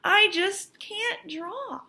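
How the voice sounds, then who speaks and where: A woman's voice: extremely high pitch, very slow, bright, forceful tone, pitched above the performer's own voice, exaggerated voice. A woman voicing a stubborn, discouraged young schoolgirl artist from a storybook, with emphatic, dramatic delivery. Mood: sulky, frustrated.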